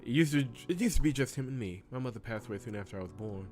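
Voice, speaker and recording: A man's voice: medium pitch, animated, fast, darkish tone, close to an everyday voice. A man voicing a timid, fragile young servant woman in a hesitant way for a visual novel. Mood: sad, subdued.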